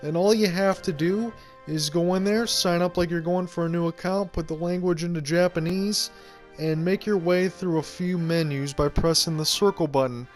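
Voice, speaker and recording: Man, high-pitched voice, loose rambling gameplay commentary, faint music behind. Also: steady tone, moderate pace. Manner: rambling, conversational explaining. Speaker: game video creator. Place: home room, indoors.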